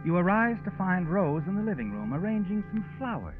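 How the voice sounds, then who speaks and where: High-voiced, taunting mystery narrator. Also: male voice, sing-song, a little fast. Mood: ominous. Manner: taunting, insinuating, second-person narration. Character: sardonic, all-knowing shadowy male storyteller, taunting. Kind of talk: 1940s radio mystery narration. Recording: dull vintage radio with music. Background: music.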